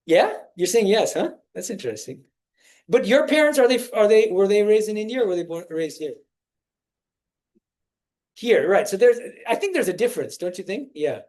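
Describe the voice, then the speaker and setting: Higher-voiced man giving a chatty spiritual talk. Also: big pitch swings, moderate pace, darkish tone. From United States. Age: 40s. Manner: conversational, often restarts phrases and quizzes the listeners. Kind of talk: informal spiritual talk with audience questions.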